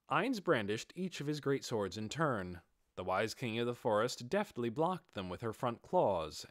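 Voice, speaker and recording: A man's voice: mid-range voice, no echo, clean studio sound. A man narrating a fantasy audiobook in a dramatic, scripted style.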